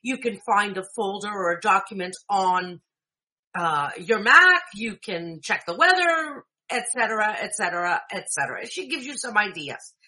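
A woman's voice: medium pitch, expressive, normal speed, pushed and bright. A woman whose first language is Greek, casually talking listeners through a screen-reader tutorial with frequent check-ins. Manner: chatty, explanatory, with frequent "okay?" check-ins. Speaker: tech instructor.

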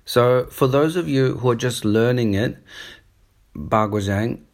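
A man's voice: mid-range voice, unhurried. Rambling man with a New Zealand accent. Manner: rambling, digressive, conversational. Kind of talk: casual spoken monologue. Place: on holiday up the coast in Australia.

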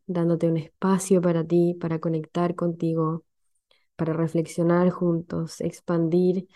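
Slow, gentle Chilean woman podcaster. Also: fairly low pitch. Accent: Chilean Spanish. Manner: slow, gentle and soothing, guides breathing. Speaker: podcast host. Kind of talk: calm reflective podcast monologue.

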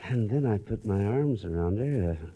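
A man's voice: on the low side, expressive, normal speed, warm tone, a little hiss or hum, low-quality recording. A man hosting with scripted comic banter in a 1950s radio style.

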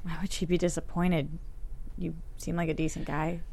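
Actress as guarded, wry woman, lowish voice. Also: level tone, moderate pace, gravelly, mellow, a little hiss or hum. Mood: gentle. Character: Jane, guarded, wry small-town woman. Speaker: actor at a script table read. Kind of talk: live script reading, romantic comedy-drama.

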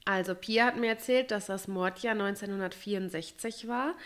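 A woman's voice: medium pitch, normal speed, background noise. A woman chatting casually and emphatically as a podcast co-host, a little stuffy from a cold.

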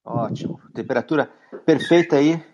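A man's voice: on the high side, normal speed, darkish tone, highs cut off, a little hiss or hum. A man with a Brazilian Portuguese accent hosting an interview in a friendly, chatty way, often restarting phrases. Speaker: host. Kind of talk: podcast interview.

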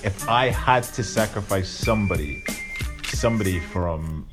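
A man's voice: low-pitched, moderate pace, music in the background. A man co-hosting a casual podcast, joking and laughing, often stopping and starting his sentences. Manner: laughing, joking, hesitant restarts. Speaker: podcast co-host.

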